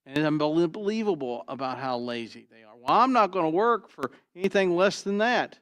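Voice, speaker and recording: A pastor with a booming, trained voice preaching an expository sermon, swinging from loud emphasis to quiet asides and asking "Why?" before he answers. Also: expressive, moderate pace, no room sound. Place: church sanctuary.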